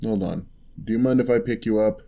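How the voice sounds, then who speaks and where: A man playing a huge, gentle, courteous giant in an ASMR roleplay, speaking softly with wry, teasing, old-fashioned politeness. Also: fairly low pitch, gravelly. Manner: unhurried, soft-spoken, old-fashioned courteous phrasing.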